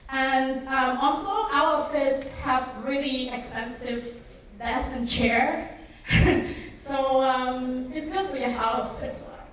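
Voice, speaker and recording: A woman's voice: sits high, slow pace, a bit bright, a little hiss or hum. A woman in her thirties from Vietnam giving a conference talk, hesitant, with many ums and likes. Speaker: conference speaker. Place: conference hall. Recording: echoey hall, mic far off.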